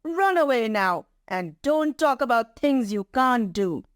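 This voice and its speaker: Storybook lion, male, proud and bossy, played by a woman, high-voiced and animated. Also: a little slow, no echo, studio quality. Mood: scornful. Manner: scornful, commanding. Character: proud male lion, haughty, easily annoyed.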